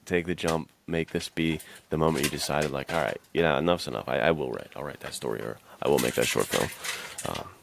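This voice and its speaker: A man's voice: extremely low pitch, fairly quick, creaky, few pauses, background noise. A man co-hosting a podcast, talking casually and informally with plenty of slang. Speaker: podcast co-host.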